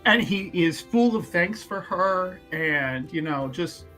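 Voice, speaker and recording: A man's voice: high voice, animated, takes it slowly, sharp tone, soft background music. A man casually playing a tabletop roleplaying game, describing his character's antics in a playful, theatrical way.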